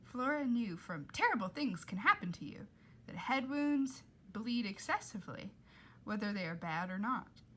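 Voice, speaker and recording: Female storybook reader, expressive and dramatic, bright tone, lively pitch swings. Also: moderate pace. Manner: dramatic, with emphatic character voices. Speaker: reader reading a children's novel aloud.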